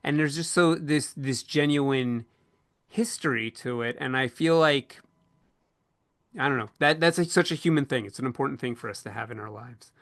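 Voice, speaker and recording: A man's voice: mid-range voice, normal speed, fairly bright tone, no room sound, studio quality. A man moderating a panel discussion as podcast host, speaking earnestly and often rephrasing as he goes.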